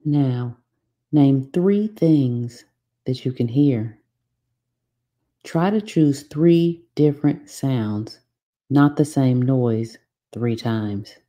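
A woman leading a guided meditation from a script, giving soothing, unhurried instructions with long pauses. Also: extremely low pitch, expressive, warm tone.

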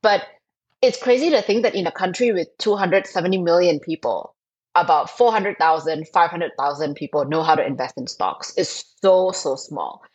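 A woman from Thailand in her thirties, a startup co-founder who explains things in an animated, emphatic way on an interview podcast. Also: on the low side, moderate pace, slightly rough, low-quality recording. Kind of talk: interview answers.

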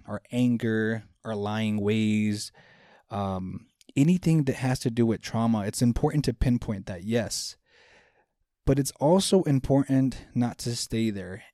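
A man's voice: medium pitch, mellow, no echo, clean studio sound. A man in his thirties hosting a self-help podcast, speaking earnestly and directly to his listeners, often repeating his points for emphasis. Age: 30s. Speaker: podcast host. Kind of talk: motivational self-help talk.

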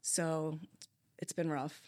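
A woman's voice: deep voice, level tone, a little mellow, no echo, studio quality. A woman who works as a police dispatcher, answering interview questions openly and reflectively, with frequent restarts. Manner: open, reflective, halting restarts.

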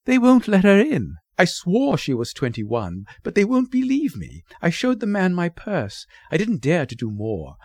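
Male reader as doting society mother, timid, gushing, apologetic, raised swooping pitch, storybook narration. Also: wildly swooping pitch, measured pace, few pauses, a little mellow, dry recording, clean studio sound, heavily acted. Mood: flustered, breathless gushing.

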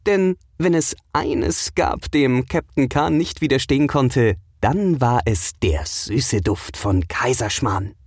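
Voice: mid-range voice, takes it slowly, hardly any pauses, a little mellow, husky, dry recording, clean studio sound. A narrator reading a scripted children's audio adventure as a storyteller.

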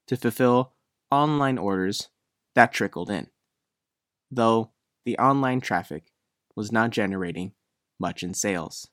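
A male reporter narrates a written news feature. His voice sits at a middling pitch and moves in measured, deliberate phrases. The sound is dry, with no room around it. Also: a bit bright, no room sound.

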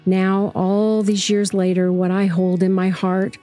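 A woman reading a scripted first-person story as narrator, in a measured, reflective way. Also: medium pitch, level tone, mellow, faint music behind.

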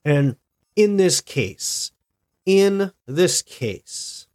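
A married man hosting a solo podcast, talking in a slow, reflective way with many restarts. He sounds a little stuffy and tired from being ill. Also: sits high, very animated, darkish tone. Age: adult, married. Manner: deliberate, reflective, with frequent restarts. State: stuffy, sick with a cold-like illness. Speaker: podcast host.

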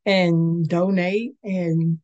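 Slightly raspy, earnest woman podcast host. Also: on the low side, steady tone, extremely slow, warm tone, muffled recording. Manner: earnest and conversational. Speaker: podcast host and licensed clinician. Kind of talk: faith-based podcast talk.

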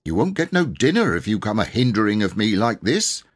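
A man's voice: lowish voice, very animated, brisk. A man voicing a cross, busy Edwardian nursemaid in an audiobook, scolding in ungrammatical servant speech. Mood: cross, brisk.

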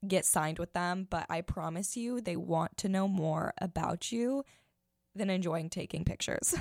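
Woman, 20s, podcast host; raspy, gravelly, low-pitched, blunt and chatty delivery. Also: a little slow, darkish tone, no echo, clean studio sound. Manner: blunt, chatty, many fillers. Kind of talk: casual advice chat.

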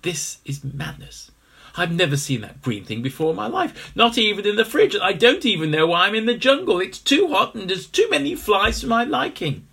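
Fussy storybook egg, indignant, husky-toned; male reader. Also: high voice, big pitch swings, normal speed, sharp tone, pitched above the performer's own voice, heavily acted. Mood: grumbling. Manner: fussy, complaining.

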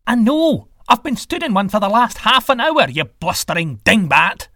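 A man's voice: high voice, sing-song, normal speed, bright, forceful tone, no echo, studio quality, voice pushed up, exaggerated voice. A man voicing a bossy, impatient sky god who scolds and hurls insults in a comic story. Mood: impatient, scolding. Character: blustering, bossy, insult-hurling male Aztec sky god.

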